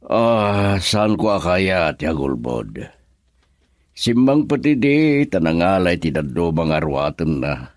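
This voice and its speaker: Low-voiced older Filipino father figure. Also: very animated, slow, dry recording, studio quality, heavily acted. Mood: hospitable. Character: soft-spoken fatherly older man, hospitable to visitors. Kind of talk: Ilocano radio drama acting.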